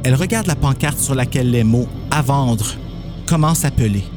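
A man's voice: medium pitch, a little fast, music in the background. A man reading scripted narration for a dramatised horror story, as its narrator.

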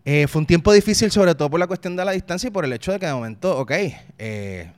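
A man's voice: fairly high pitch, lively intonation, quick pace, darkish tone, dry recording. A man in his thirties from Puerto Rico with a Puerto Rican accent, a guest talking casually and reflectively in an interview, often restarting and repeating words. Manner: uses local slang.

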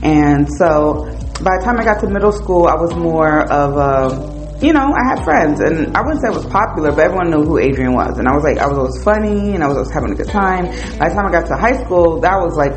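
A woman's voice: low voice, animated, brisk. Candid woman telling her story over music. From New Jersey, United States. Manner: candid, conversational, lots of fillers. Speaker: podcast host. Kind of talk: personal storytelling monologue. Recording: music playing behind the voice.

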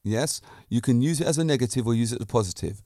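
A man's voice: medium pitch, fast talker, warm tone, no echo, clean studio sound. A man hosting a podcast, giving a self-assured motivational monologue full of emphatic points and rhetorical questions. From Britain. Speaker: podcast host.

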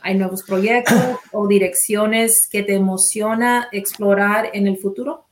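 Host: woman, mid-pitched, casual and chatty, code-switching fillers. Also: fairly even intonation, unhurried, a little hiss or hum. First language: Spanish. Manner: chatty, mixes in English fillers like "so" and "yeah". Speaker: interviewer. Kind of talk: casual interview chat.

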